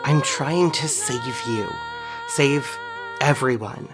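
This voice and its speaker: A woman's voice: very deep voice, takes it slowly, music in the background. A woman playing an earnest, dramatic superhero in an audio drama, urgent and aggrieved. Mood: urgent, insistent.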